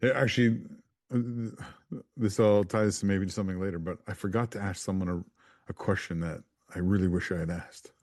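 A man co-hosting a football podcast chat, with a low, mellow voice. He speaks in a low-key, hesitant way, stumbling a little. The sound is close and dry. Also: steady tone, moderate pace, no room sound. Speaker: podcast co-host.

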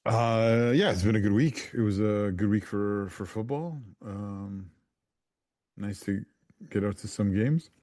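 A man co-hosting a football podcast chat, with a low, mellow voice. He speaks in a low-key, hesitant way, stumbling a little. The sound is close and dry. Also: unhurried, no echo. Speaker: podcast co-host.

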